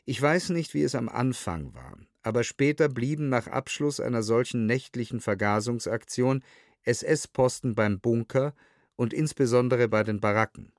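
A man reading a scripted documentary audiobook in a sober, measured way, close to a studio microphone. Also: mid-range voice, lively intonation, dry recording. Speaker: reader of historical testimony.

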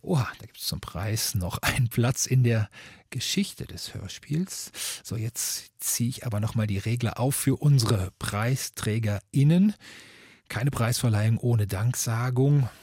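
A man's voice: medium pitch, measured pace, a little mellow, slightly rough, no echo, clean studio sound. A man moderating an award ceremony as radio host, speaking formally and politely to guests and listeners.

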